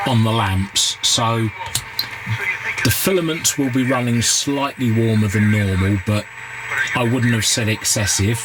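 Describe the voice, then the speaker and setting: A man giving an unscripted, halting workshop commentary, thinking aloud as he works at a repair bench. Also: on the low side. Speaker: hobbyist radio repairer and video host. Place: indoor repair workbench. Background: loud noise, radio and test-tone sounds.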